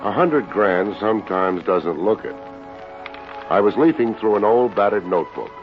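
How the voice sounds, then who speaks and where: An actor playing a wisecracking, unsentimental private investigator in an old radio detective drama. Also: male voice, on the low side, animated, normal speed, music in the background, lightly acted. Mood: somber narrating. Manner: wry, hard-boiled, slangy. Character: wisecracking, unsentimental male private investigator narrating his case. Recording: dull, noisy old radio recording.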